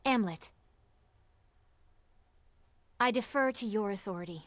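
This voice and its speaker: A woman's voice: medium pitch, fast, no echo, close to an everyday voice. A woman playing an earnest young princess soldier in a video game, speaking in a formal, stately and resolute manner. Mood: proud, resolute.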